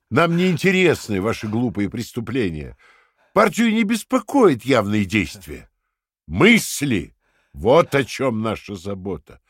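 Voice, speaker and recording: An actor playing a coldly patient, menacing Party interrogator in a radio play, with a theatrical, deliberate, lecturing delivery that swings from hushed to shouting. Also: male voice, on the low side, breathy edge, dry recording, studio quality, exaggerated voice. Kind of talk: dramatic radio play acting.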